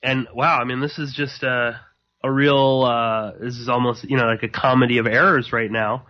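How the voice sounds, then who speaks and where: A man hosting live radio alone, rambling and halting with many fillers, a slight sore throat in his voice. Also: medium pitch, level tone. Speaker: podcast host. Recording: dull lo-fi.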